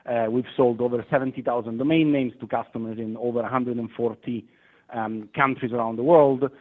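A man's voice: mid-range voice, fairly even intonation, fairly quick. A man from Italy with an Italian accent, a guest on a radio interview, speaking hesitantly with many fillers and restarts. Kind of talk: phone interview. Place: London. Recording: tinny phone line.

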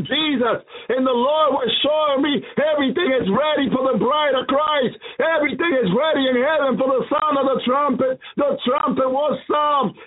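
High-voiced preacher man on tinny radio. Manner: repetitive, exclaiming hallelujah between phrases. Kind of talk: prophetic sermon.

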